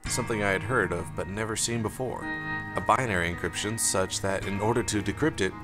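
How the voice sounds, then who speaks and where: A man reading first-person fiction as an audiobook narrator, in a measured, dramatic way. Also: fairly low pitch.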